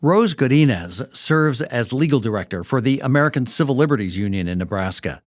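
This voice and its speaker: Expressive male documentary narrator. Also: medium pitch, brisk, no echo, studio quality. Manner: clear, storytelling, expressive. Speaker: podcast host.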